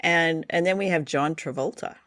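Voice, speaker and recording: A woman's voice: low voice, moderate pace, a bit bright, dry recording, clean studio sound. A woman hosting a radio show and podcast in a conversational style.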